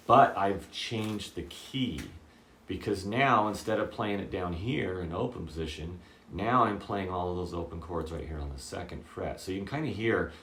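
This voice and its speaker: A man with a very low voice giving a casual guitar lesson, checking in often with "okay?" and "does that make sense?". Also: roomy sound. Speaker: guitar teacher.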